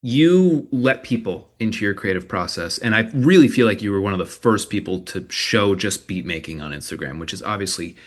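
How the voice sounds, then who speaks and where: Guy moderating an online panel, lowish voice, enthusiastic, long rambling questions. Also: animated, fairly quick, few pauses.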